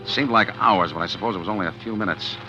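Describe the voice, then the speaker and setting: A man's voice: low voice, rapid-fire, sharp tone. An actor playing a calculating man who narrates his plan to poison his wife in a 1940s radio drama, hard-boiled and confiding, with nervous stammers. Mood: brooding. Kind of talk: first-person narration in 1940s radio acting. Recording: dull 1940s radio recording with music underneath. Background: music.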